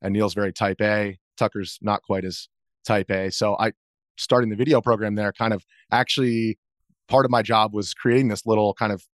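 A man in his thirties with a fairly low voice talks as a guest in a casual interview. He feels his way through answers in loose, wandering sentences, recorded close and dry. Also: fairly even intonation, normal speed, no echo. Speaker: interview guest, video journalist.

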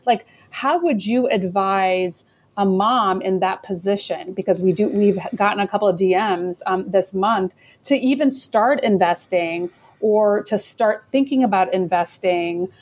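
A woman hosting a casual talk show, chatty and animated, asking her guest questions. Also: medium pitch, measured pace. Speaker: podcast host. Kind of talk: casual interview chat.

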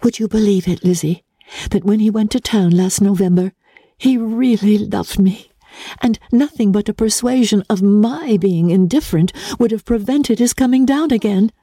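A woman voicing a gentle, joyful, tender-hearted young Regency gentlewoman in an earnest, effusive way for an audiobook. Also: mid-range voice, moderate pace, breathy edge, no room sound, studio quality, voice pushed up. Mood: earnest, wounded.

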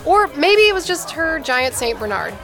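A woman's voice: sits high, very animated, moderate pace, fairly bright tone, soft background music, a faint crowd, full character voice. A woman playing a candid, teasing older sister with a blunt, familiar manner in conversational audio drama dialogue.

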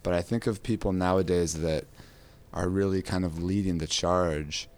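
A man hosting a casual podcast about guitar, his voice raspy from a cold, talking in a relaxed, rambling way with many fillers. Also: low voice, moderate pace. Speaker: podcast host.

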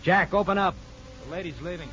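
An actor in a 1950s radio drama playing a tough, sneering prison inmate with a curt, scornful way of talking. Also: male voice, sits high, brisk, loud music behind the voice, close to an everyday voice. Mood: bitter, dismissive. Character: gruff, sneering male convict, the jilted tough boyfriend. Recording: muffled, noisy old radio broadcast.

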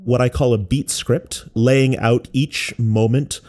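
An American man explaining creative work in a measured, reflective narration, as a sound artist presenting their own work. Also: medium pitch, fairly even intonation, a little mellow. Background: music.

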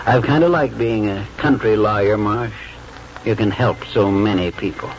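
An actor playing a gentle, ailing old country lawyer, halting, folksy and wistful, in 1940s radio drama style. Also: male voice, on the low side, natural voice. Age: older. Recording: dull 1940s radio recording, faint noise.

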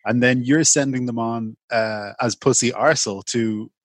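A man's voice: mid-range voice, steady tone, unhurried, no room sound. A man hosting an improvised comedy call-in show over video call. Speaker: host.

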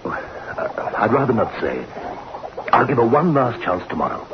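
A man's voice: medium pitch, sing-song, normal speed, lightly acted. An actor in a 1960s radio drama playing a weak, nervous husband, hesitant and stammering in his agitation. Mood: hesitant, defensive. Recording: muffled noisy old radio recording.

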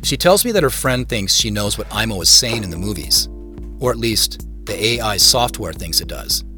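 A reflective storyteller in an audio drama, speaking in a fairly low voice. He narrates in the first person, as scripted, with clear music playing behind him. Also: lively intonation, moderate pace, bright, forceful tone. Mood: dry, matter-of-fact. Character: reflective man recounting his dreams and his search.

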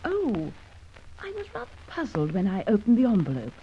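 A woman's voice: mid-range voice, wildly swooping pitch, normal speed, a little hiss or hum. An actress playing a cheerful, gushing young woman in an old radio drama. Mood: happy. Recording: dull old radio recording.

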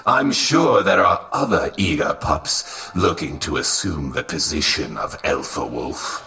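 Creaky-voiced, calculating robot advisor. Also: male voice, a bass voice, animated, pushed and bright, reverberant, far from the mic, full character voice. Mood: menacing. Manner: formal, measured, condescending. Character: cold, courteous, calculating male robot royal advisor. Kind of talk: scripted audio drama acting.

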